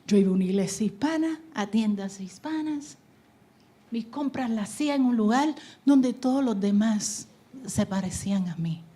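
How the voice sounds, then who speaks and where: A woman from New Jersey giving a preaching testimony at a conference, moving from loud exhortation to hushed whispers. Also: a little high, expressive, normal speed, breathy edge. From United States (New Jersey). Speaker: conference speaker. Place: conference hall stage.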